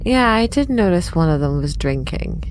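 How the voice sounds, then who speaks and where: A woman with a fairly low voice chats and roleplays casually as a tabletop game player. A faint noise sits in the background. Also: very animated, normal speed.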